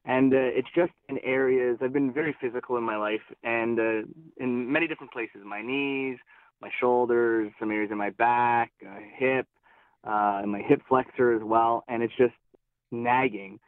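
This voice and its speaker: A thirty-year-old man calling into a radio show describes his problem casually, with halting starts and many fillers. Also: mid-range voice, steady tone, no echo. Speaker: caller. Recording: tinny phone line.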